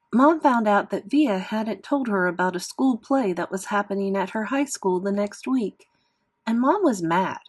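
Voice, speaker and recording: A woman narrating a children's novel expressively as a classroom read-aloud. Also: medium pitch. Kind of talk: scripted storybook narration.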